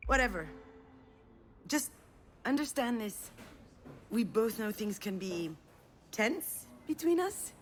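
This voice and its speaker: An actress playing a self-deprecating, conciliatory sister in earnest, conversational game dialogue. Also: female voice, on the high side, sing-song, slow pace, long pauses, a little hiss or hum. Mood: resigned.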